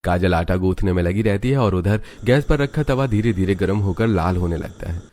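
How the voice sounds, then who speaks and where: A man's voice: low voice, fairly quick, a little mellow. A man narrating a scripted cartoon story.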